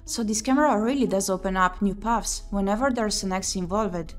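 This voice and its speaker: A woman streams a game playthrough at a medium pitch. She reads narration aloud and talks her way through what to do. Game music plays behind her voice. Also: brisk. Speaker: streamer playing a visual novel.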